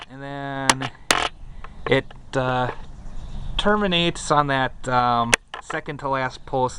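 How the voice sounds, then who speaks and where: A man giving a casual, hesitant, rambling show-and-tell, narrating as he films on a handheld camera. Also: medium pitch. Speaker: hobbyist vlogger. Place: around a house, basement and outdoors. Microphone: handheld camera microphone. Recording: noisy camcorder audio, steady background noise.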